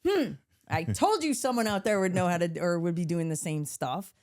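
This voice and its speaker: A woman with a deeper voice co-hosting a podcast, chatting casually, reading out listener messages and laughing as she swears playfully. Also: expressive, moderate pace, a little mellow. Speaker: podcast co-host. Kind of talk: casual chat.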